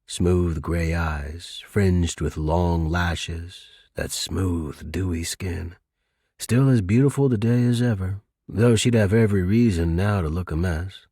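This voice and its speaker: A weary middle-aged tough guy played by a male reader tells his own noir story in a very deep, husky voice. He is wry and clipped in a hardboiled way, with tender regret underneath. The recording is dry and close. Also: warm tone, dry recording, full character voice. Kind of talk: first-person noir audiobook narration.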